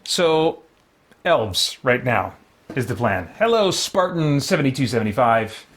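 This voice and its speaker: Guy streaming a game, medium pitch, chatty and informal, kinda rambling and thinking out loud. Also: big pitch swings, measured pace. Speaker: live streamer, gaming channel host. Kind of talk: casual live game stream chat and recap.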